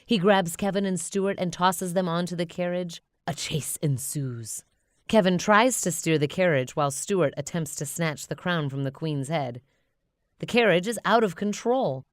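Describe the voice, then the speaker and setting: A woman reads a children's story aloud with expression. Her voice is fairly low, smooth and crisply articulated, in a dry, close recording. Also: moderate pace, dry recording. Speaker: storybook narrator.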